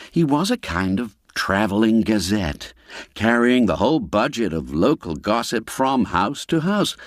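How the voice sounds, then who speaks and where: A man narrating a classic literary tale in a measured, expressive storyteller's manner. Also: lowish voice, no echo, studio quality. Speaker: narrator and audiobook reader.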